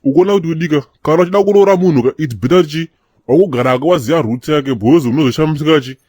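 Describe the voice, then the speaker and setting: Fast-talking streetwise Zimbabwean cartoon guy, voiced by a man. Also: fairly high pitch, a little mellow, no room sound, studio quality, natural voice. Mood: chatty, opinionated. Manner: slangy, mixing in English phrases. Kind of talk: animated comedy dialogue, slangy banter.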